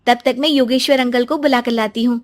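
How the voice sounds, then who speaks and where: A woman voicing a kind, forgiving grown daughter in a cartoon moral story, gentle and earnest. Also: on the high side, steady tone, a little fast, pitched above the performer's own voice, close to an everyday voice. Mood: reassuring.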